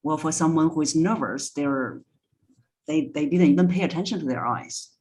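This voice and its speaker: A woman's voice: deep voice, normal speed, darkish tone. Woman voice coach giving practical advice. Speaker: voice coach, interview guest. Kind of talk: conversational expert advice.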